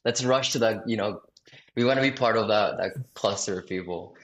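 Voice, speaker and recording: Young guy from south florida, lowish voice, rambly with lots of likes and you knows, echoey room. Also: fairly quick, a bit bright. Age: young adult. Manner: rambling, filler-heavy, many restarts. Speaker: sales director, podcast guest. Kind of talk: casual interview talk.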